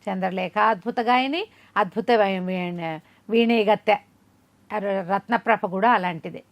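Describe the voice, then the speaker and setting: A woman narrating a folk tale as an oral storyteller, in an expressive, conversational way with rhetorical questions. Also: medium pitch, measured pace.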